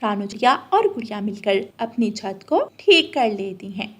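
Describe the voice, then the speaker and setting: A woman's voice: mid-range voice, sing-song, normal speed. A woman narrating a scripted children's story as the storyteller.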